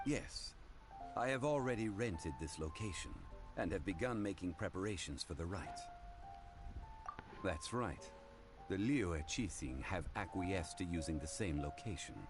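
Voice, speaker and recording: A man playing a composed, courtly and learned gentleman in a video game, speaking in a formal, measured, lecturing way. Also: animated. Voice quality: low, measured voice. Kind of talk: video game dialogue acting. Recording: game music behind the voice.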